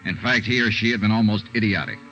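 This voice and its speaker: A hard-boiled male insurance investigator, patient and dryly wry. His voice sits fairly low with a bright, forceful edge. The delivery is businesslike, in the manner of a 1950s detective radio drama. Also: fast, lo-fi sound, faint music behind. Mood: brisk narrating. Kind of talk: 1950s detective radio drama acting, some first-person narration.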